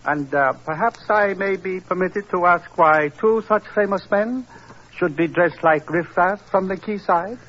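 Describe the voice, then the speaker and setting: A man's voice: sits high, a little slow, fairly bright tone. A man acting as a courteous, elderly Chinese prince in 1940s radio drama, with ceremonious, ornate phrasing and sly disdain. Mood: silky courtesy. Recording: muffled 1940s radio recording.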